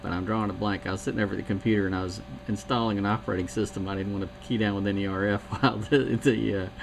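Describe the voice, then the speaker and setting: A man's voice: on the low side, steady tone, rapid-fire, creaky. A man chatting on CB radio in a rambling way, with fillers and trailing thoughts. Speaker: CB radio operator. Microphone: CB radio mic. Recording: heavy radio static.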